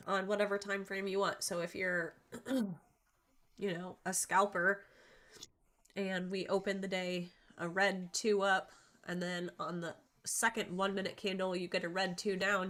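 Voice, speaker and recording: A woman with a stuffy nose from allergies, giving a casual trading lesson in a chatty, informal way with frequent ums and throat clearing. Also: lowish voice, fairly bright tone. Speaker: stock trading educator. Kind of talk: casual chart-analysis lesson.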